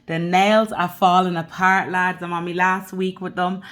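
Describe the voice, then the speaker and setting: A woman's voice: lowish voice, level tone, moderate pace, fairly bright tone. A woman in her thirties from the Caribbean with a Dublin accent, chatting loosely and directly to her viewers as a budgeting vlogger. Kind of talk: casual chat to camera.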